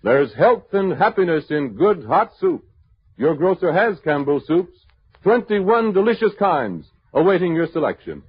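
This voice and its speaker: A man's voice: fairly high pitch, very animated, measured pace, breathy edge, no room sound. A man working as a radio announcer, reading a scripted sponsor message in a formal, polished 1930s style on an old radio recording. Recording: dull, band-limited 1930s radio recording.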